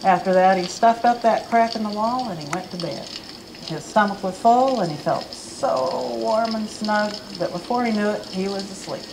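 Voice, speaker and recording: A woman reads a spooky folk tale aloud to a live audience, her voice building drama as the story goes on. She speaks at a middle pitch. A noisy hiss runs through the live recording. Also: moderate pace, vocal fry. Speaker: reader at a library event. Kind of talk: reading a children's story aloud. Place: campus event room.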